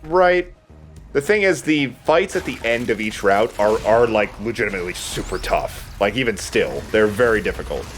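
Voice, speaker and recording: A man's voice: on the low side, animated, normal speed, soft background music. A man giving casual, rambling gaming commentary as a let's play host, sometimes swearing.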